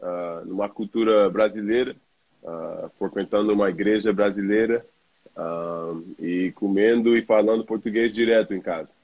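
A man's voice: on the low side, steady tone, moderate pace. A man from New York with an American accent, chatting casually in hesitant, slightly broken phrasing. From New York, United States. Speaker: guest, basketball player. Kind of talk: casual chat. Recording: dull, lo-fi call audio.

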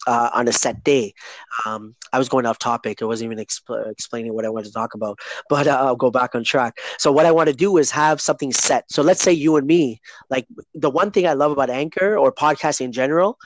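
A man's voice: mid-range voice, fairly quick, no room sound, poor audio. Rambling man with a dry voice. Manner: rambling, keeps asking "right?". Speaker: podcast host. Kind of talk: casual podcast chat.

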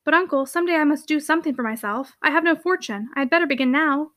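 Woman reading as meek young niece, high voice. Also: quick pace, a bit bright. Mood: pleading. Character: meek, unhappy young niece, quietly persistent. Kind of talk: storybook character dialogue.

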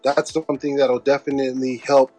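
A man's voice: medium pitch, level tone, fairly quick, mellow, soft background music. A man co-hosting a casual interview, talking in an encouraging, affirming way. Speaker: podcast co-host, interviewer.

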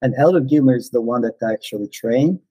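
A man in his forties, a non-native English speaker, casually reminiscing in an interview with frequent pauses and fillers. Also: mid-range voice, dark tone, breathy edge, dry recording, poor audio. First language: not English. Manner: hesitant, many fillers and restarts. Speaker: podcast guest, former missionary.

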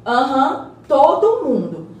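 A woman's voice: high voice, very animated, slow pace, a little mellow, exaggerated voice. A woman playing a chatty, keen community member in a radio soap, informal and eager. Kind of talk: scripted radio soap, casual dialogue. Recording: very echoey, distant mic, faint noise.

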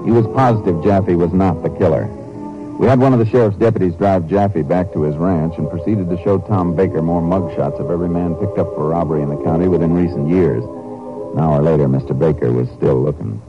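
Stern lawman, deep, matter-of-fact, clipped, dull old radio recording. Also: brisk, over music. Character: stern, methodical Texas Ranger lawman. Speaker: lead investigator and narrator. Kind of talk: 1950s radio drama acting.

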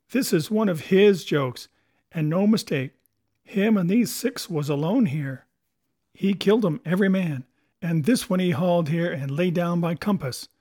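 A man voicing a scheming old male pirate cook with gruff, salty sailor talk, hearty and cunning. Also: high-pitched, darkish tone.

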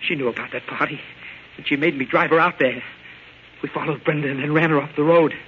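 An actor playing a panicky, cowardly small-time crook, stammering and slangy, in 1940s radio acting style. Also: male voice, on the high side, steady tone, fast, natural voice. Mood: defensive, accusing. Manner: frantic, stammering, slangy pleading. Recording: dull, noisy old radio broadcast.

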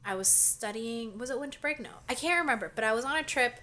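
A woman's voice: fairly high pitch, lively intonation, fast talker, bright, forceful tone. A woman in her twenties with a congested, nasal voice, co-hosting a podcast with blunt, wry remarks. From Canada. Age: 20s. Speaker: podcast co-host. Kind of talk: casual chat.

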